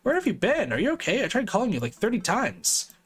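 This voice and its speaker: A man's voice: high voice, huge pitch swings, quick pace, slightly rough, pitched above the performer's own voice, heavily acted. A man voicing a bubbly, playful teenage daughter with a raised voice. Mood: chirpy. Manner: chirpy and playful.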